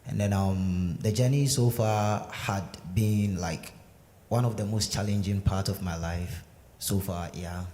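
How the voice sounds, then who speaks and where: A young man speaks hesitantly, pausing often with fillers as he tells his own story. His voice sits low and mellow. It is recorded in a large sanctuary with a long reverb. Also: steady tone. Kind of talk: personal testimony answering questions. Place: synagogue sanctuary. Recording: echoey sanctuary with faint noise.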